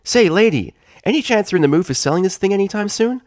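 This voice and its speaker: A man voicing a brash, excitable young tomboy pegasus filly with cheeky slang in a cartoon story reading. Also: sits high, quick pace, no echo, clean studio sound, voice pushed up. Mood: eager.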